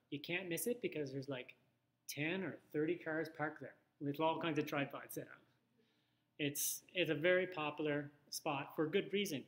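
A man's voice: on the high side, fairly bright tone. A man giving an informal instructional photography talk, conversational and thinking aloud with little asides.

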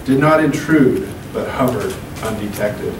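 A man in his thirties reading poems at an open mic into a handheld mic, slow and deliberate, with casual asides between poems. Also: medium pitch. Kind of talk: poetry reading. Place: small room at a podium. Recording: echoey room, camera from far off, hum.

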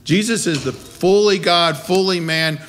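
A man's voice: sits high, a little slow, a bit bright, slight background noise. A middle-aged or older American man preaching a sermon in a conversational but emphatic way, with rhetorical questions. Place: wooden-walled small church, at a pulpit. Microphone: gooseneck pulpit microphone.

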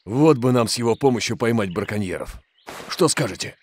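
A man's voice: mid-range voice, animated, brisk, a little mellow, husky. A man voicing a sensible, helpful big bear in a Russian cartoon dub, with a reassuring way of explaining. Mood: calm, sensible.

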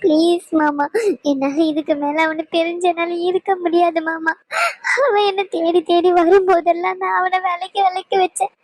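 A woman's voice: very high-pitched, level tone, moderate pace, no echo. A woman acting as a young woman in hospital, crying and pleading with her uncle, tearful and stubborn.

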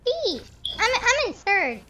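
A man voicing a naive, whiny eight-year-old boy gamer through a pitch-raising voice changer while playing online. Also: huge pitch swings, slow, very noisy, heavily acted. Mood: innocent, naive. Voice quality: squeaky, artificially pitched-up. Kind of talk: prank gaming chat.

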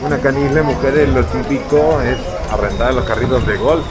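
A man's voice: mid-range voice, steady tone, normal speed. A man in his thirties talking to the camera at arm's length outdoors, joking and chatting casually with viewers while touring an island. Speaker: travel vlogger. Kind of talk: casual travel vlog. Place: outdoors on an island, boat and golf cart. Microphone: handheld camera at arm's length. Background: music.

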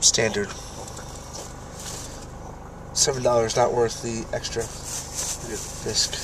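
A man's voice: medium pitch, little pitch movement, slow. A young man chatting casually inside a car, picked up by a handheld camera. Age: young adult. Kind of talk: casual chat. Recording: distant mic, noisy car interior.

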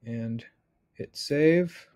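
A man in his thirties giving a casual software tutorial, informally thinking aloud as he goes step by step. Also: on the high side, drawn-out words. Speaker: instructor.

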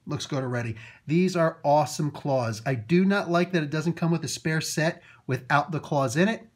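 A man's voice: fairly high pitch, moderate pace. A man, off camera and filming toys with a handheld phone, rambling through a casual review with many fillers, restarts and chuckles. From Italian heritage. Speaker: toy reviewer. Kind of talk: casual unboxing commentary. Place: indoors at a table, off camera.

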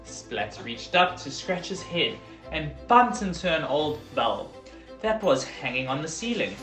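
Slow, animated storybook reader in a roomy space. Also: medium pitch, faint music behind. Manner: animated, deliberate, emphatic on dialogue and sound words. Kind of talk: expressive children's storybook reading. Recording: roomy echo.

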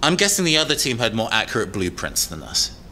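An actor playing a thoughtful, slightly uneasy young tech expert in an audio drama, explaining things in a hesitant, natural way. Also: male voice, mid-range voice, very animated, fast talker, pushed and bright. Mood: explanatory.

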